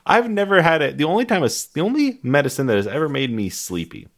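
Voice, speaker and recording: A man with a booming, loud voice co-hosting a podcast in casual, jokey, profane and animated chat. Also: fast. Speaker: podcast co-host.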